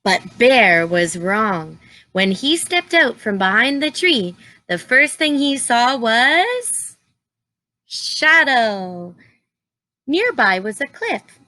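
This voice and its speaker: Bright, slow-paced woman reading to children. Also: a little high, no echo. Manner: expressive, deliberate, explains and asks simple questions. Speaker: teacher reading aloud to young children. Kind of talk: children's storybook read-aloud with asides and questions to listeners.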